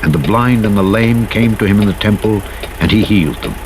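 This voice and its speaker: Clear vehicle engine noise runs behind a man with a fairly low voice. He reads scripture as a narrator, in a solemn and measured way, with archaic, formal phrasing. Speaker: Bible narrator. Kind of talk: scripture reading. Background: truck engine noise from a driving game.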